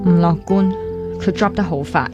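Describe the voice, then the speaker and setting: Actress as caring young hk cantonese woman, hospital worker in a radio drama, lowish voice, guitar music clearly behind. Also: moderate pace, lo-fi sound, close to an everyday voice. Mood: reflective, concerned. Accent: Hong Kong Cantonese. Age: young adult. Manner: earnest, conversational, mixes in English words.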